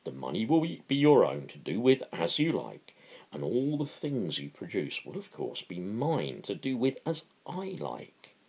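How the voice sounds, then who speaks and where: A man voicing a working man who patiently lectures as a pretend capitalist in a novel, emphatic and explanatory, with a gravelly voice. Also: fairly low pitch, wildly swooping pitch, unhurried, a little mellow, pitched above the performer's own voice, heavily acted, low-quality recording.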